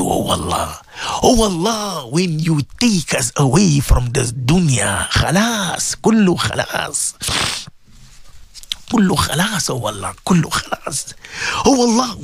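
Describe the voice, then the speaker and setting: A woman leading an impassioned, tearful prayer, pleading and swinging between shouted cries and sobbing whispers. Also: on the high side, breathy edge, no room sound. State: crying. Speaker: leader of a prayer.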